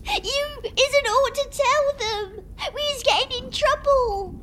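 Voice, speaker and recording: A woman voicing a small, frantic, devoted female house-elf servant in a storybook, squeaky and wailing in broken grammar. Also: slow pace, fairly bright tone, a little hiss or hum, heavily acted. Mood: tearful pleading. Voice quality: squeaky, shrill.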